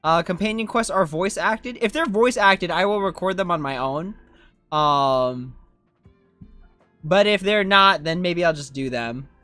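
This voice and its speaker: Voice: mid-range voice, a little slow, pushed and bright, no echo, faint music behind. A game streamer giving casual live commentary in an informal, self-questioning way. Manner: informal, self-questioning, often ends with "right?".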